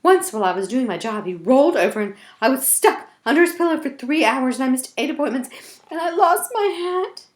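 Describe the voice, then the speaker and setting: Woman playing put-upon tooth fairy: small, raised pitch, exasperated, storybook style. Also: animated, a little fast.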